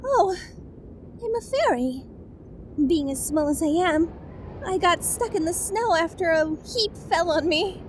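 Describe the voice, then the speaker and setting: A woman's voice: extremely high pitch, expressive, unhurried, a little hiss or hum. A woman voicing a tiny, polite female flower fairy in an audio roleplay drama, dainty and prim. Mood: timid, grateful.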